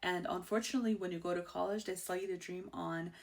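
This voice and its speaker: A woman's voice: on the low side, steady tone, fast, vocal fry. A bubbly, outgoing woman in her thirties from Iran with an Iranian accent, hosting a casual personal monologue and laughing easily. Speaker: host.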